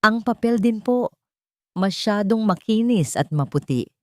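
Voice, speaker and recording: A woman's voice: medium pitch, lively intonation, unhurried, dry recording, clean studio sound, pitched above the performer's own voice, natural voice. A woman with a Filipino accent voicing a polite, brave, clever ten-year-old child who explains carefully in a narrated story. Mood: earnest, explaining.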